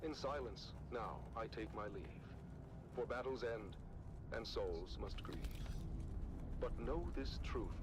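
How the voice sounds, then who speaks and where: A solemn male soldier-bard, acted by a man mourns in measured game verse. He takes his time with every phrase, while loud ambience and engine noise surround him. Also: mid-range voice, lightly acted. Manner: solemn, measured, rhyming delivery.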